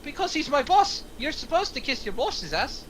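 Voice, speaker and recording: A man acts out an anxious henchman at the wheel, with a very high, bright voice. He is exasperated and defensive, swearing through a film table read. Some noise sits behind him in the room. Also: very animated, normal speed, heavily acted. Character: anxious, rule-following male criminal henchman driving the getaway car.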